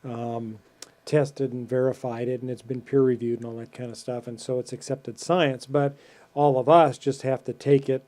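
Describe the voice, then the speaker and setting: A man's voice: mid-range voice, steady tone, normal speed, mellow. A man hosting a podcast who muses casually and rambles, with plenty of fillers. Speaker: podcast host. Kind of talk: casual chat.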